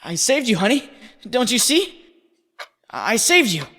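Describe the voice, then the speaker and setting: A woman's voice: on the high side, very animated, measured pace, a bit bright, slight background noise. A woman voicing an earnest, wisecracking young superhero in a dramatic comic dub. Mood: desperate pleading.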